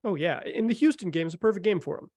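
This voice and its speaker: A man's voice: high voice, animated, fast, darkish tone, husky, no room sound, clean studio sound. A man hosting a sports podcast, chatting casually and enthusiastically about basketball in informal language.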